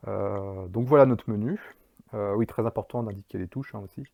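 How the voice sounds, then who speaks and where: Chatty man with a low mellow voice. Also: normal speed, vocal fry, dry recording. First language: French. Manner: chatty, many fillers and restarts. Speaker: indie game developer. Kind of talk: casual devlog narration.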